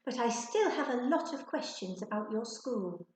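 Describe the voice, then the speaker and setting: A woman's voice: fairly high pitch, animated, moderate pace, darkish tone, voice pushed up, lightly acted. A woman voicing a small female alien creature, indignant, curious and stubborn, in a children's storybook. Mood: reluctant, curious. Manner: huffy, emphatic. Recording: roomy, echoey sound.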